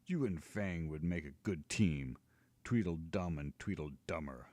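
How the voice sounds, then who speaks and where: A man putting on a loud hectoring giant, raised voice, dry close sound. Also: rumbling low, animated, unhurried, dry recording. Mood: scolding. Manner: hectoring, bossy. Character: blustering scolding male giant.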